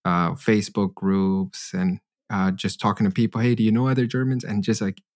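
A man's voice: on the low side, steady tone, moderate pace, darkish tone. A man from Canada hosting a podcast, upbeat and curious as he asks his guest questions. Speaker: podcast host. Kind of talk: casual interview chat.

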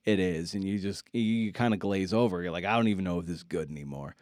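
A man with a low, mellow voice hosts a podcast in a close, dry sound. He talks casually and wanders through his thoughts informally, dropping in playful asides. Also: fast, no echo. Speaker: podcast host. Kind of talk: casual interview and outro chat.

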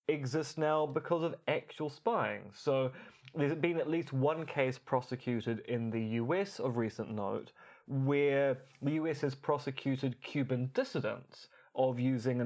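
A man's voice: medium pitch, darkish tone. A man co-hosting a podcast, explaining in an articulate, conversational way with wry asides and rhetorical questions. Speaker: podcast co-host.

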